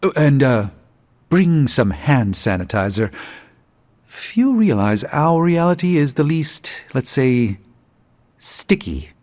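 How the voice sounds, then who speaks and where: An actor playing a proud, weary middle-aged sorcerer in a video game, speaking in measured, erudite, dryly wry phrases. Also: male voice, mid-range voice, very animated, no echo. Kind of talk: theatrical video game character dialogue. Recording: muffled game audio under music.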